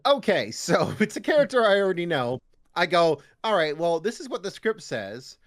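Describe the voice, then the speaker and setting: Guy on a podcast talking shop, really lively up and down delivery, casual and a bit self-deprecating. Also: high voice, moderate pace. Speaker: guest voice actor. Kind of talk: casual podcast interview chat.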